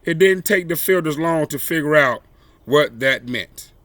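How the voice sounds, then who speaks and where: A man reading a children's story aloud expressively, with deliberate, emphatic phrasing and dramatic pauses. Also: a little high, lively intonation, fairly bright tone, no room sound. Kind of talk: expressive read-aloud storytelling with brief asides.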